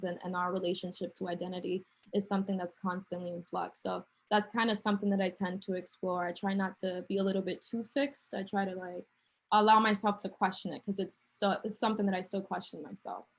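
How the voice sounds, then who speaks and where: A young woman talks reflectively on a video call, leaning on "like" and "um" as she thinks. Her pitch sits in a middle range, and she speaks in a relaxed, casual way. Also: a little fast, gravelly. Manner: reflective, lots of likes and ums. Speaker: panel artist. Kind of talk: casual panel talk.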